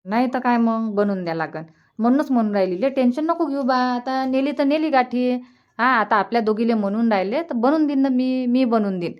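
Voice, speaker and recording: A woman's voice: fairly high pitch, fairly quick, dull audio, natural voice. A woman playing a generous, practical village mother in a cartoon comedy, insistently reassuring in a Varhadi Marathi accent. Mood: insistent, comforting. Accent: Varhadi Marathi of Vidarbha. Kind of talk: dialect cartoon comedy dialogue.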